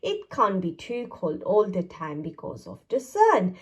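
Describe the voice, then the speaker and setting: A woman's voice: medium pitch, huge pitch swings, unhurried, breathy edge, full character voice. A woman doing a pompous old wizard. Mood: know-it-all. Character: wise old male wizard, brisk and pompous.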